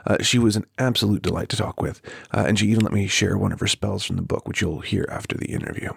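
A man hosting a podcast and talking casually while hoarse from a cold, hurrying and filling pauses with ums and uhs. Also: fairly low pitch. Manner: hurried, with many ums and uhs. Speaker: podcast host. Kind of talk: casual spoken intro. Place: home.

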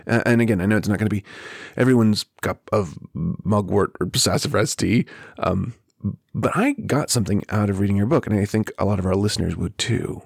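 A man hosting a podcast and talking casually while hoarse from a cold, hurrying and filling pauses with ums and uhs. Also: lowish voice, dry recording, studio quality. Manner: hurried, with many ums and uhs. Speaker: podcast host. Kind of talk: casual spoken intro. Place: home.

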